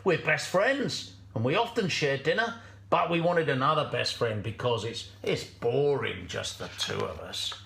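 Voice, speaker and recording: A sly storybook fox performed by a storyteller, all false friendship and coaxing. The delivery is breezy and lively, with the sound of an echoey room around it. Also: mid-range voice, unhurried, vocal fry. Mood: dismissive, breezy. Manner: breezy, persuasive, emphatic.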